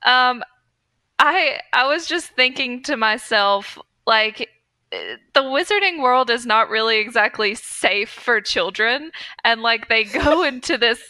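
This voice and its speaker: Bright, clear-voiced woman chatting casually. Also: fairly high pitch, expressive, unhurried, no echo. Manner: informal, slangy. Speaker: podcast co-host. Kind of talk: casual chat.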